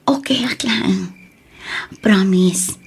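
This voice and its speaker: A woman playing an anguished, secretive wife and mother in a radio drama, speaking haltingly with a whispery, tearful delivery. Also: medium pitch, gravelly, slight background noise. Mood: nervous, reassuring. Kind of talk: radio drama acting in Ilocano.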